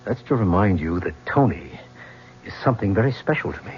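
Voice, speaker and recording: A man plays an imperious middle-aged tycoon in a radio play. His delivery is cool and commanding, with lively swings of pitch. The old broadcast sound is dull, with faint noise. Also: fairly quick, lightly acted. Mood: scornful. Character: ruthless, domineering middle-aged male business tycoon. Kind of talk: 1970s radio drama acting.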